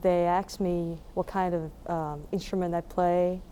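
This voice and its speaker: Young woman, Taiwanese accent, interviewee. Soft-edged mellow tone, halting casual delivery, faint background noise. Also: on the low side, little pitch movement. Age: young adult. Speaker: musician being interviewed.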